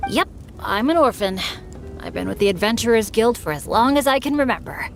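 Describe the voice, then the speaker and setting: A woman's voice: on the high side, lively intonation, brisk, gravelly, music in the background. A woman voicing an earnest, good-natured teenage boy adventurer in an anime-style game, chatty and a little bashful. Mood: bashful, upbeat.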